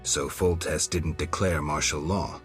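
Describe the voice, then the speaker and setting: A stoic monster hunter for hire in a fantasy game. The voice is extremely low, and the lines come out terse and deadpan, with a world-weary flatness. Faint music plays underneath. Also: male voice, a little slow. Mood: guarded. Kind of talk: video game dialogue acting.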